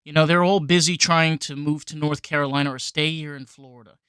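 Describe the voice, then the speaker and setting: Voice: medium pitch, fairly even intonation, brisk, fairly bright tone, clean tone, no echo, studio quality. A podcast host from New York speaking casually and emphatically, leaning on filler phrases. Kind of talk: casual conversation.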